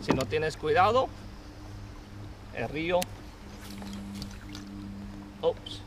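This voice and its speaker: A man in his thirties vlogging with excitement while fishing from a kayak on a river, switching between Spanish and English. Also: a little high, drawn-out words, over loud music. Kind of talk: casual vlog narration while fishing. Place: outdoors on a river, wading and kayaking. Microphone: action camera on a kayak. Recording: noisy outdoor river audio.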